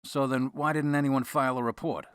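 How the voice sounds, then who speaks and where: A man's voice: medium pitch, fairly even intonation, fairly quick, a bit bright, clear, resonant tone, no echo, clean studio sound, lightly acted. A man playing a blunt, skeptical detective's partner in an audio drama, plain-spoken and questioning. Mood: puzzled. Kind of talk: modern audio drama acting.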